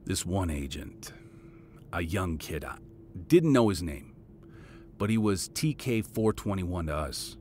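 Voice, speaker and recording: A man playing a devoted father and veteran intelligence monitor in an audio drama, speaking in a measured, matter-of-fact way. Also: very animated, a little mellow. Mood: grim, reflective. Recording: audible low rumbling background noise.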